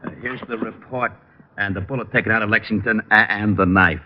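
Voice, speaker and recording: An actor playing a dim, eager, easily flustered police sergeant in a 1940s radio detective show, hesitant and whiny when scolded. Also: male voice, lowish voice, fairly even intonation, brisk, close to an everyday voice. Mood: eager, dutiful. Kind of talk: 1940s radio detective comedy-drama acting. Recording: muffled, noisy old radio recording.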